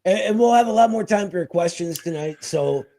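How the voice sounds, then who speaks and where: A man's voice: sits high, animated, brisk, mellow. A man hosting a live stream in casual chat, informal and often checking in with the listener.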